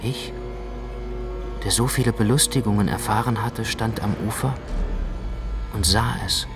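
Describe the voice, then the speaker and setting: An actor playing an awed witness in a dramatic literary radio narration. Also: male voice, on the low side, measured pace. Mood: awed, intense. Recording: clear music behind the voice.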